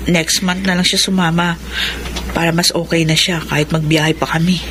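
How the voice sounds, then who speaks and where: An actress playing a dutiful, protective young eldest daughter in a Filipino radio drama, speaking in an earnest, respectful way. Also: female voice, on the low side, little pitch movement, normal speed, lightly acted. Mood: earnest, reassuring. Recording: noisy radio drama audio.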